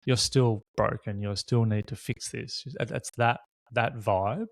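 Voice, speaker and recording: A man's voice: fairly low pitch, fairly even intonation, measured pace, no room sound, studio quality. A man hosts a reflective, unscripted conversation, speaking candidly and casually in short, considered bursts. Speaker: podcast host.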